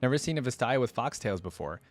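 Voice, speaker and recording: A man reading aloud the part of a sly, smooth-talking woman fortune teller in a story. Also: mid-range voice, animated, quick pace, faint music behind, lightly acted. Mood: smug, sly.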